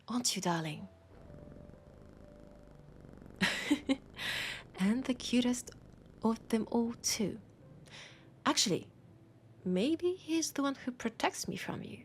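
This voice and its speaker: A woman with a French accent playing a teasing, warm bookshop keeper in an intimate audio roleplay, soft and playful, often slipping toward a whisper. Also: on the high side, expressive, takes it slowly, pauses often, a little hiss or hum. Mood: playful, fond. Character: teasing, warm young-sounding human bookshop keeper, chatting to vampire.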